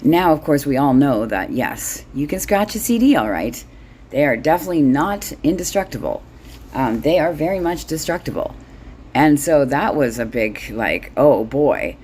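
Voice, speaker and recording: Low-voiced middle-aged woman, blunt and wry. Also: big pitch swings, normal speed, a little mellow, a little hiss or hum. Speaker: solo host. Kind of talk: casual comedic monologue.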